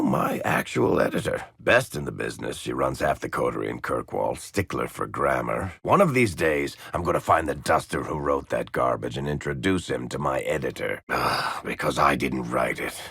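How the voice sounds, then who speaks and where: An actor playing a wry, roguish middle-aged storyteller in a video game, with a gravelly, husky American voice and a sardonic, teasing delivery. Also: male voice, very deep voice, fairly bright tone, dry recording, clean studio sound, full character voice. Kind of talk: video game party banter.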